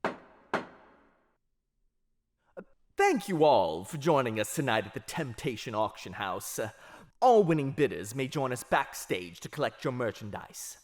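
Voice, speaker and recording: Guy doing a fancy vampire auctioneer, super animated pitch, flattering sales patter, audio drama. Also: a little slow, pauses often, a little hiss or hum. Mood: awkward, courteous. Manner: showy, courteous salesmanship. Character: showy, flattering male vampire auctioneer, slightly flustered. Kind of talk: theatrical ASMR roleplay audio drama acting.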